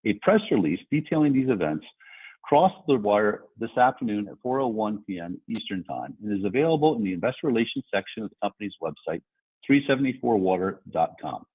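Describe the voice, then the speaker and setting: A man's voice: fairly low pitch, sing-song, a little fast, slightly rough. A man in investor relations formally reading a scripted corporate statement. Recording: tinny phone-like conference line.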